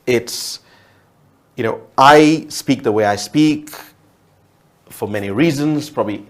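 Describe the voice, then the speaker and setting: A man's voice: mid-range voice, slow pace. A man from Uganda whose first language is Luo, speaking reflectively as a TV talk show guest, often restarting and repeating words.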